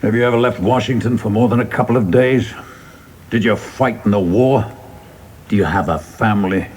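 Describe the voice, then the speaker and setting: An actor in a radio play playing a seasoned, humane government official, questioning deliberately with gentle scorn and pauses. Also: male voice, on the low side, a little hiss or hum, natural voice. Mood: scornful.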